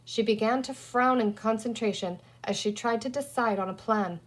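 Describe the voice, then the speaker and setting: A woman reading a children's story aloud in an expressive narrating style. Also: a little high, normal speed. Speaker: audiobook reader.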